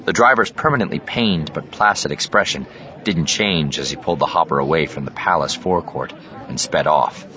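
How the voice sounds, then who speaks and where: A man's voice: rumbling low, fairly quick, a crowd in the background. A man reading science fiction as a dramatic, scripted audiobook narration. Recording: slightly dull studio track over ambient effects.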